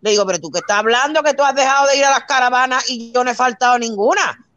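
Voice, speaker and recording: Cuban woman, high voice, dry close sound; lively retelling of a quarrel, Cuban accent. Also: fast, no room sound, muffled recording. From Cuba. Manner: animated storytelling, quoting her own arguments. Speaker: guest on a live talk show. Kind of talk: casual heated chat.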